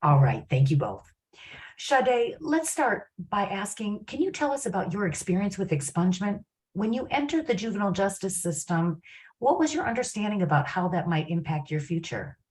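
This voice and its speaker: A woman moderating a panel discussion, asking questions in a polite, measured way. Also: medium pitch, big pitch swings, a little mellow.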